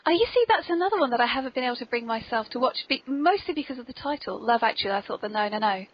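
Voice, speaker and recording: A woman with an English accent hosts a playful podcast interview in a fairly high voice. Her delivery is polite and theatrically expressive. The recording is dull and lo-fi. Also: racing. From Cornwall, England. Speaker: podcast host interviewing an author.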